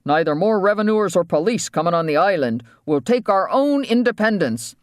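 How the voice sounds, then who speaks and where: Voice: medium pitch, expressive, moderate pace, dry recording, studio quality, pitched above the performer's own voice, lightly acted. An audiobook narrator voicing an angry, wild island waterman who talks in agitated, defiant rustic dialect.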